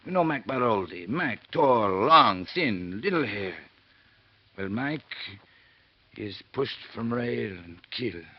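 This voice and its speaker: An actor playing a hearty, excitable French immigrant mill worker, with a French accent and emphatic broken English, in 1950s radio style. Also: male voice, mid-range voice, takes it slowly. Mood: grave, distressed. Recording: muffled old radio recording.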